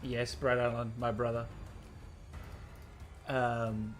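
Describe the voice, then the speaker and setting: A man's voice: medium pitch, little pitch movement, takes it slowly, soft background music. A man hosting a casual live stream, reading out comments and thanks in an enthusiastic, matey, informal way.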